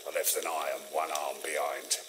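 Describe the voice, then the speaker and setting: A frail, haunted man of middle age for a mystery game. His voice sits low and comes out weary and halting, full of self-pity. A clear sizzling noise runs behind him. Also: little pitch movement. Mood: weary, defeated. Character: frail, haunted male former explorer, fearful and defeated. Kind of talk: video game dramatic acting.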